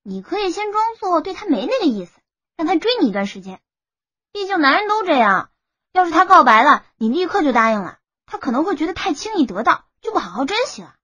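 Woman acting an excitable, high-pitched college girl. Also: fast talker, no room sound, exaggerated voice. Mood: chatty, curious. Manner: excitable, chatty. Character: lively, nosy female college student roommate. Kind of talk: audiobook character acting.

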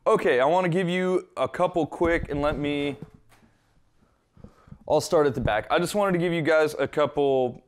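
A man's voice: a little high, moderate pace. A man presenting a hands-on equipment tutorial, explaining step by step in an informal, conversational way.

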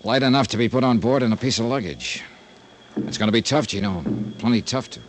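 An actor playing a tough, dogged investigator in a 1950s radio drama, terse and wry, in hardboiled style. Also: male voice, medium pitch, a little fast, lightly acted. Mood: probing, wry. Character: Johnny Dollar, tough, dogged male investigator. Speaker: lead actor and narrator. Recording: noisy old radio drama recording.

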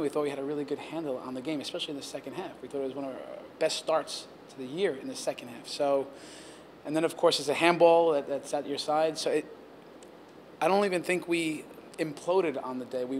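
A man in his forties, a head coach answering post-game questions at reporters' handheld mics, talking in a measured, earnest way with many restarts. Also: fairly high pitch, slight background noise. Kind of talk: post-game press interview. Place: press backdrop area, indoors. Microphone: handheld reporter mics held close.

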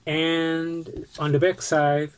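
A man in his forties with a Portuguese accent, showing his postcards on a webcam in a book-lined home study, slow and halting with frequent restarts. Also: on the high side, monotone, clear, resonant tone. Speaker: postcard collecting vlogger. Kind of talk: casual show-and-tell vlog.

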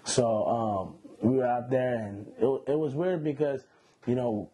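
A man in his twenties from Iran, a guest telling his story in a relaxed, slangy way with many fillers, seated indoors on a lapel mic. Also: medium pitch, measured pace, breathy edge, low-quality recording. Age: 20s. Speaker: interview guest. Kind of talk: casual interview. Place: indoor room, seated on a couch.

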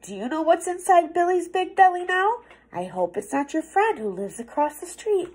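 A young woman reading a picture book aloud to children like a teacher, theatrical and playful, drawing words out for effect. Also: extremely high pitch. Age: young adult. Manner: theatrical, expressive, playful, with drawn-out words and hushed asides. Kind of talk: animated storybook read-aloud for children. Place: small office room indoors.

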